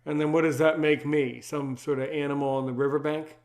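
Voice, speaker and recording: A man's voice: on the high side, little pitch movement, fairly quick, a little mellow. A man hosting a podcast, chatting casually and teasingly with his guest and often repeating his own phrases. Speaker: podcast host. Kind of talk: casual interview chat.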